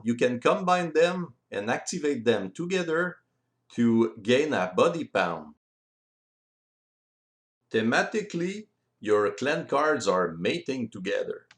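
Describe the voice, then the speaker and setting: A man explaining board game rules step by step in simple, deliberate phrasing. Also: mid-range voice. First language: French. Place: voice-over recorded separately from the video.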